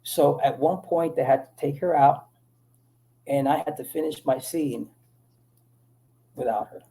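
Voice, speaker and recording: Man, gravelly, dark-toned, from the Dominican Republic, animated interview storyteller. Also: mid-range voice, measured pace, rough recording. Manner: animated storytelling, many restarts. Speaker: actor guest. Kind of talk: casual interview talk.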